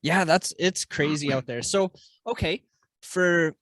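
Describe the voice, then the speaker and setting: A man's voice: a little high, measured pace, fairly bright tone, dry recording. Laid-back man hosting, dry close sound. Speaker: podcast host. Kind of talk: casual interview.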